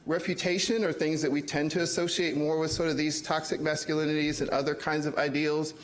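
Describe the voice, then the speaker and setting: A man speaking as an academic panelist, measured and hedging with "sort of" and "you know". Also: on the high side, little pitch movement, poor audio. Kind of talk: panel talk.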